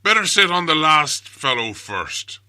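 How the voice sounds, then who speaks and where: Loud, quarrelsome, dim-witted troll. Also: male voice, on the high side, very animated, measured pace, heavily acted. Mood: gruff deliberating. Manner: bickering, blustering, uneducated colloquial speech. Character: big, dim, quarrelsome male troll, crude and grumpy.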